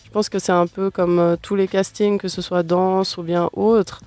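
A radio host asks long, rambling questions in a relaxed, chatty way. The voice sits in a middle range with a warm, dark tone. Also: mid-range voice, normal speed, dry recording. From Switzerland. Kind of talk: radio interview.

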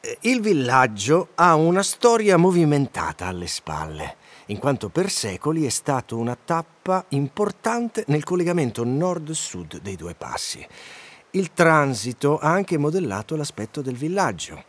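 A man's voice: on the high side, big pitch swings, unhurried. A man acting in a radio drama as an eager, pedantic guide, didactic and fussy, declaiming what he reads. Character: eager, bookish male guide, pedantic and easily flustered. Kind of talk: radio drama dialogue with read-aloud guide text.

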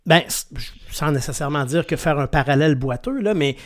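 Québécois guy interviewing a band, slightly high voice, rambly and full of hesitations. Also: expressive, brisk. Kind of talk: casual interview.

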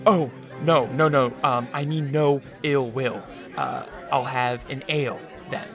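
A man's voice: medium pitch, fairly even intonation, a little slow, close to an everyday voice. Guy doing a jittery polite vampire in a radio play, hesitant, music and pub crowd in back. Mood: flustered. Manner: hesitant, apologetic. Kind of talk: radio drama acting.

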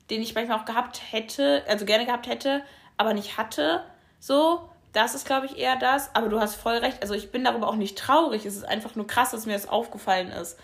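Young woman podcasting, thinking out loud, lots of ums, middle pitch. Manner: thoughtful, searching, many fillers. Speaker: podcast co-host. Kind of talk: casual chat.

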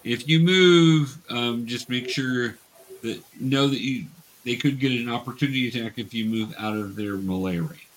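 A man with a mid-pitched voice acts as game master for young beginners at a tabletop game. He instructs patiently, step by step, and keeps the play moving as he explains. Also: normal speed, rough recording.

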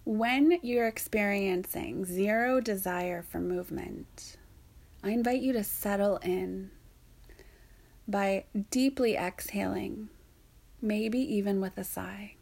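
A woman softly guiding a yoga nidra meditation in a soothing, breathy way, leaving long pauses. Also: mid-range voice. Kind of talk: guided meditation narration.

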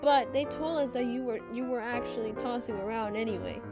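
A woman's voice: fairly high pitch, fairly quick, loud music behind the voice, lightly acted. A woman voicing a bossy young woman who scolds in a firm, clipped way. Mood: scornful.

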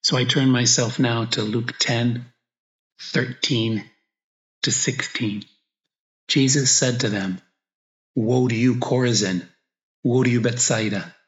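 A man's voice: mid-range voice, steady tone. Echoey, reflective man leading prayer. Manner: slow, reflective, pausing between short phrases. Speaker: priest guiding meditation and prayer. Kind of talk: guided meditation, spoken prayer with gospel reading. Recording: echoey room, slightly dull sound.

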